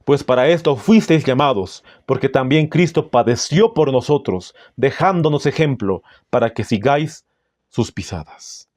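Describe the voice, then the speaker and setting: A man with a Bolivian Spanish accent preaching in a solemn, didactic way, reading out passages with deliberate phrasing. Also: mid-range voice, animated, darkish tone, no room sound, clean studio sound. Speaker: Adventist preacher. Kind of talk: sermon with scripture and book readings.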